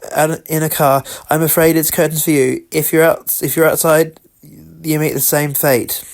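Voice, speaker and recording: A man narrating an amateur scripted emergency broadcast, halting and correcting himself as he reads. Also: a little high. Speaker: radio emergency announcer in a fan-made scenario.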